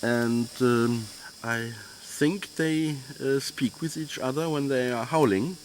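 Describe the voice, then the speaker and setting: A man, a husky keeper who speaks halting, hesitant English with many pauses, outdoors in the cold. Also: mid-range voice. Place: outdoors in snowy cold country. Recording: faint outdoor noise.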